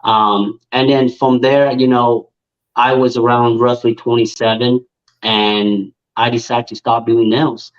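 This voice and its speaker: A man in his thirties, born in Vietnam, tells his story casually in an interview, his pitch sitting at an ordinary middle level. The audio is a bit dull and lo-fi. He speaks easily and turns lively when he acts out his own past thoughts. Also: measured pace. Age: 30s. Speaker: guest, nail technician and influencer.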